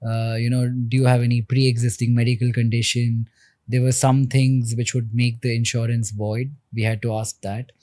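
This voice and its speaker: A man in his thirties with an Indian accent, a podcast guest explaining things in a measured way with frequent pauses. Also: medium pitch, flat delivery, dark tone, dry recording, clean studio sound. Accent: Indian English. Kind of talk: podcast interview.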